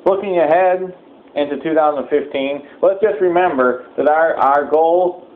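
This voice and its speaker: A man's voice: fairly high pitch, unhurried. Phone-like recording of a solemn male preacher. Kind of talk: sermon-like address with announcements. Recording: thin phone-like sound, clear background noise.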